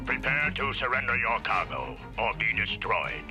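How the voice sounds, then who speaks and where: An indignant, menacing alien pirate captain speaks in formal, imperious lines at a medium pitch. It sounds like an old animated series soundtrack, with music clearly underneath. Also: male voice, moderate pace. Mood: threatening. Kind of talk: 1970s animated television acting.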